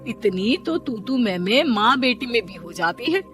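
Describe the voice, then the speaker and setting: A woman voicing a meddling, suspicious neighbour woman who needles and insinuates in an animated story. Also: fairly high pitch, a little slow, soft background music. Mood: needling, gossipy. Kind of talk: animated storybook character acting.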